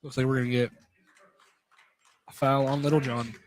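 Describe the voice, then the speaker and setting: A man's voice: mid-range voice, flat delivery, normal speed. A man doing live basketball play-by-play on the radio in short, clipped phrases. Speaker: play-by-play commentator. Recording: slight background noise.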